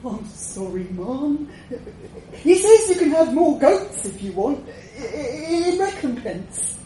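A woman's voice: high-pitched, big pitch swings, slow, dark tone, pitched above the performer's own voice, exaggerated voice. A woman voicing a snivelling, terrified royal messenger who stammers and pleads in a storytelling performance. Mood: apologetic. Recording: echoey room, distant mic, faint noise.

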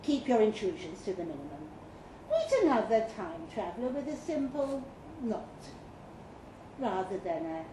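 A woman giving a live reading of a comic guidebook in a dry, mock-official tone with playful emphasis. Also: on the high side. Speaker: reader at a live fiction event. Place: pub function room with live audience. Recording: roomy live pub recording with noise. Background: audience laughter and room noise.

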